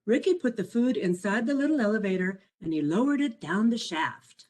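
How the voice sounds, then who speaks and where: A woman reading her own children's picture book aloud as a storyteller, in an expressive, measured way. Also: mid-range voice. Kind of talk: scripted storybook narration for children.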